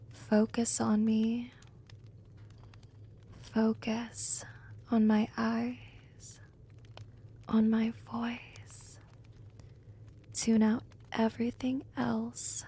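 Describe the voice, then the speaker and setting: Woman playing a slow, breathy, gentle vampire temptress. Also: on the high side, exaggerated voice. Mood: hypnotic, coaxing. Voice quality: soft, breathy, often whispering. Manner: slow, soothing, coaxing. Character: gentle, teasing, seductive female vampire hypnotist. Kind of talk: ASMR roleplay.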